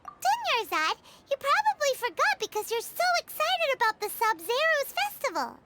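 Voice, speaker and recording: A squeaky little game fairy, super high and swoopy, childlike, excitable, refers to herself in third person. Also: female voice, moderate pace, a little hiss or hum, exaggerated voice. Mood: teasing. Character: tiny childlike floating fairy companion, excitable and candy-loving. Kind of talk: video game voice acting.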